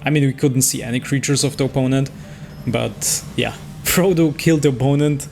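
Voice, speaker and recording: A man's voice: mid-range voice, moderate pace, gravelly, a little mellow. A man giving casual gameplay commentary on a card game, thinking aloud as he weighs his moves a little hesitantly. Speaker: host playing and commenting on a digital card game. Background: music.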